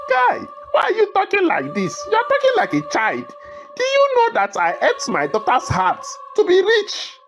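A woman acting a brazen, ruthless ritual initiate scolds in a very high, wildly animated voice. The accent is Nigerian, the style film melodrama, and loud music sits behind. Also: normal speed, heavily acted. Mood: scornful, boastful.

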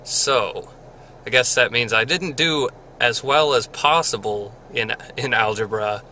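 A man's voice: medium pitch, a little slow, bright, forceful tone, a little hiss or hum. A man in his twenties talking casually to a handheld camera from his parked car, rambling and correcting himself with small chuckles. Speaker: vlogger. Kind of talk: casual video diary. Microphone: handheld camera at arm's length.

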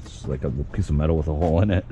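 Low-voiced man rambling outdoors. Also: racing. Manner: rambling, thinking aloud. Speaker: vlogger. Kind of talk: casual outdoor vlog commentary while scavenging. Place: outdoors in an industrial park lot. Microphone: body-worn action camera. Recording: muffled action-camera audio with clear outdoor noise.

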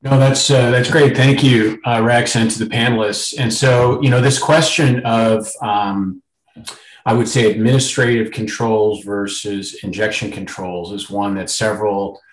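Male host, lowish voice, roomy echo, casual rambling questions. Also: fairly even intonation, measured pace. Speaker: host reading chat questions. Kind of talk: panel discussion. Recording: roomy video-call audio.

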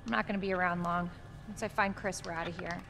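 A woman's voice: lowish voice, steady tone, fast talker, a bit bright, slight background noise. An actress playing a determined, caring young American woman in a video game cutscene, speaking casually and plainly. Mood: guarded.

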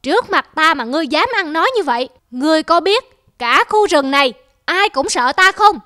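Arrogant high-pitched cartoon elephant. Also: female voice, animated, a little fast, pushed and bright. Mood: scornful, bullying. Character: arrogant bullying huge elephant, loud and scornful. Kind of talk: children's cartoon dubbing.

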